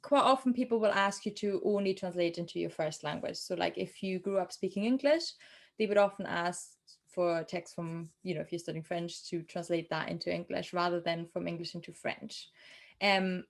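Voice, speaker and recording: A woman's voice: lowish voice, moderate pace, creaky. A young adult woman from Germany, a native German speaker with a German accent, answering interview questions about translation in a chatty way with many ums and restarts. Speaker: translator guest.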